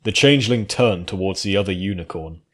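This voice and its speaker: A man's voice: low-pitched, fairly quick, fairly bright tone. A man reading scripted fantasy fiction as its narrator. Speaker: story narrator.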